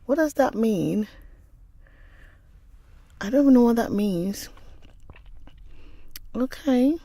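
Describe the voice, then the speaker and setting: Nasal woman with a cold, unhurried. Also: fairly high pitch, lively intonation, warm tone, no room sound. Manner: chatty, calls viewers darling. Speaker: livestream book reader. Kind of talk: chatting with viewers, then reading a novel aloud.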